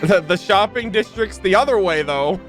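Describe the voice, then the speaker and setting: A woman's voice: mid-range voice, lively intonation, normal speed, faint music behind. A woman streaming a game, giving casual, slangy commentary and reacting to the story.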